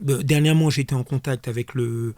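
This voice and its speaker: A man gives explanatory answers as a guest official on radio. His mid-pitched voice comes through dry, and he speaks haltingly, repeating small words before finishing a sentence. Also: fairly even intonation, mellow, no room sound. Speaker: sports official, interview guest. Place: radio studio.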